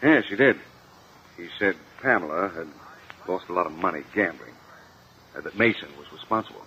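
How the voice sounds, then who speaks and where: An actor playing an uneasy, evasive businessman, halting and defensive, in a 1950 radio courtroom drama. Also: low-pitched, lively intonation, pushed and bright, full character voice. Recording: muffled, hissy old radio recording.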